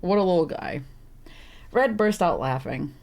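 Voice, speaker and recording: Woman streamer w a really low rough voice, kinda stuffy and hoarse, rambles and laughs at herself a lot. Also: animated, unhurried, darkish tone. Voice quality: deep, rough voice. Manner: rambling, self-deprecating, laughs often. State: congested, slightly hoarse. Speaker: streamer playing a visual novel. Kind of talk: casual gameplay commentary.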